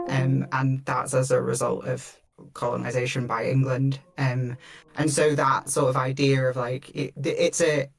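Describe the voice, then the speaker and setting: A man's voice: medium pitch, level tone, measured pace, creaky, faint music behind. A man from Wales chatting casually and telling personal stories. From north Wales. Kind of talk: casual chat, personal storytelling.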